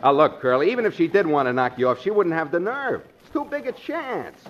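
A man's voice: fairly high pitch, big pitch swings, a little fast. A man playing a wisecracking, needling musician pal with slangy, teasing talk in a 1940s radio comedy. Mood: mock reassuring. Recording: noisy, muffled 1940s radio recording.

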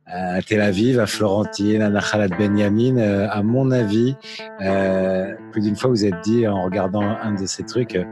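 A man's voice: fairly low pitch, steady tone, normal speed, music in the background. A man hosting a casual interview in an informal, hesitant way, often repeating his phrases as he goes. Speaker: interviewer, host. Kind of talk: casual conversational interview in French.

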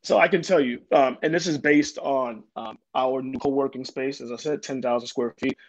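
A man's voice: medium pitch, moderate pace. New guy answering interview questions, lots of ums, kinda dull muffled audio. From Jamaica, Queens, New York. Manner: earnest, lots of ums and restarts. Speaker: development corporation president, guest.

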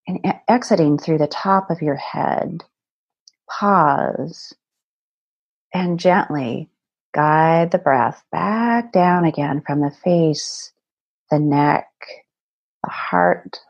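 Female meditation guide, low and very slow, soothing, deliberate pauses between phrases. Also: gravelly, a little mellow, husky, highs cut off.

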